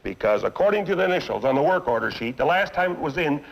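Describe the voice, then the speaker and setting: A fed-up, accusing car owner. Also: male voice, on the high side, animated, fast talker, vocal fry, low-quality recording. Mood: angry. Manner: blunt, accusing, sarcastic. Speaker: dissatisfied customer. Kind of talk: 1950s training film acting.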